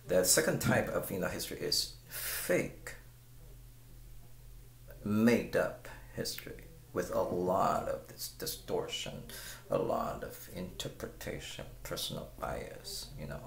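Deep, gravelly Chinese-speaking man with a rambling manner. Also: echoey room. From China. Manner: rambling, repeats words, asks the viewer pointed questions. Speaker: guitar teacher. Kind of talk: casual talk to camera. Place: small room at home. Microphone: webcam at close range.

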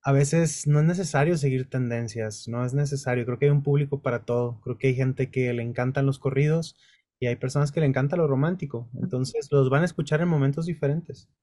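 Mellow-voiced singer on a video call. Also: male voice, mid-range voice, fairly even intonation, a little fast, no room sound, clean studio sound. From Culiacán, Sinaloa, Mexico. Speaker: singer, interview guest. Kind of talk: relaxed interview answers. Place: dim indoor room with lamp light.

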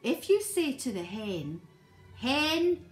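The north wind as a gentle, apologetic spirit, as a woman storyteller puts it on, talking slowly and calmly in a measured, lilting way. The voice rings in an echoey room with soft music beneath. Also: sits high, pitched above the performer's own voice, heavily acted. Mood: persuasive.

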